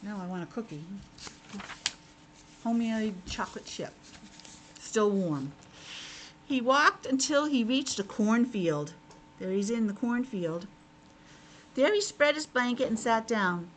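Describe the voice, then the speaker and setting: A woman's voice: a little high, expressive, measured pace, pauses often, slight background noise, rough recording. A woman teacher reading a picture book aloud to young children, expressively, with simple asides to her listeners.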